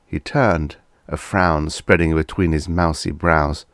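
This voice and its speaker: A man's voice: rumbling low, steady tone, slow, a bit bright, dry recording, studio quality. A man reading vintage fiction aloud as an audiobook narrator, in a scripted storytelling style.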